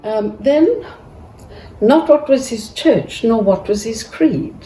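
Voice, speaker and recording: A woman speaks slowly and haltingly in a mellow voice, restarting her sentences often. She sits back from the mic in an echoey room. A faint hum of ambience lies underneath. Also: fairly high pitch, expressive, recorded from a distance, faint music behind. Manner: halting, with many restarts and pauses. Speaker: family member giving a tribute. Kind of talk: tribute speech.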